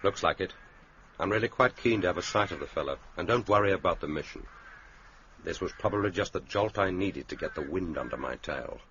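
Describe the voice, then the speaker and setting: An actor playing a cool, dry-witted secret agent in a radio drama, clipped, understated and wry. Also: male voice, low voice, lively intonation, brisk, fairly bright tone, a little hiss or hum. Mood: wry, businesslike. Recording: dull radio drama recording with music.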